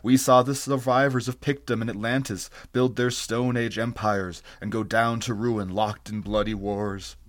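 A man's voice: on the low side, steady tone, normal speed, hardly any pauses, natural voice. A man voicing an ancient blind, tortured alien creature from a fantasy story, sorrowful and solemn, in grave archaic speech. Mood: weary, grave.

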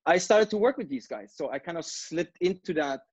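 A man's voice: on the high side, animated, a little fast, slightly rough, no echo, muffled recording. A man in his thirties from East Germany with a German accent, chatting informally as an interview guest and often saying "you know.". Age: 30s. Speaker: podcast guest, breakdancer. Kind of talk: casual interview storytelling.

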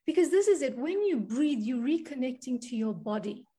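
A woman's voice: high-pitched, sing-song, moderate pace, dark tone. A woman from South Africa, a money and spiritual coach, talking earnestly in an interview and often checking in with "right?". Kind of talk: conversational interview.

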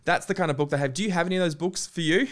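A man's voice: fairly high pitch, very fast, fairly bright tone. A man hosting a podcast, giving effusive, admiring introductions and interviewing a guest by phone. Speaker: podcast host.

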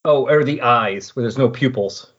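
Man, podcast host, husky voice, conversational, explanatory, slightly muffled lo-fi recording. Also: medium pitch, moderate pace, a bit bright. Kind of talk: casual comic-book recap discussion. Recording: dull, lo-fi voice recording.

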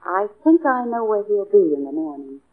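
Plucky hostage, boxy old radio sound. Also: female voice, mid-range voice, big pitch swings, moderate pace. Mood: bargaining. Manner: earnest, direct, questioning. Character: plucky young woman hostage, a policeman's girlfriend, steady, persuasive. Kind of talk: 1940s radio drama acting. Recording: muffled, narrow old radio broadcast.

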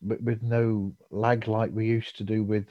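A man hosting a podcast, chatting in an easygoing, agreeable, measured way. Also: lowish voice, level tone, no room sound. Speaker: podcast host. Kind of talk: casual chat. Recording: dull lo-fi audio.

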